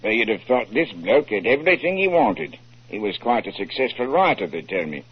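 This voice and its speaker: Genial police inspector, low husky voice, matter-of-fact, muffled 1940s radio sound. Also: male voice, sing-song, brisk, full character voice. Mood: genial, chatty. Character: genial, deferential, plain-spoken London police inspector.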